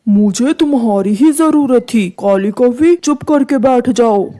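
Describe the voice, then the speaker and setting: A woman's voice: fairly high pitch, expressive, a little slow, dark tone. A woman voicing a stern, determined male farmer in a cartoon, curt and commanding.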